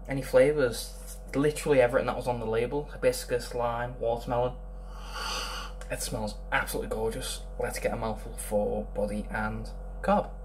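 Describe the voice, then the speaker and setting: A man's voice: mid-range voice, a little mellow, slight background noise. A young man casually reviewing a beer at home, rambling with lots of ums. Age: young adult. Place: small room indoors.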